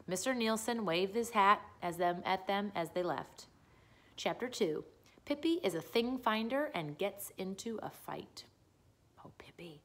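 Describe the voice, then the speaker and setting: A woman's voice: mid-range voice, a bit bright. A woman reading a children's book aloud as a storyteller, narrating the story.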